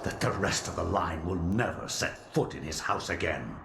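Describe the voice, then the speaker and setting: Dark master's minion for a game: deep, menacing, theatrical, animated intonation. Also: male voice, moderate pace, gravelly. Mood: gloating menace. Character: sinister, devoted servant of a dark master. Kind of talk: video game cutscene acting. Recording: echoey, buried in loud ambient noise.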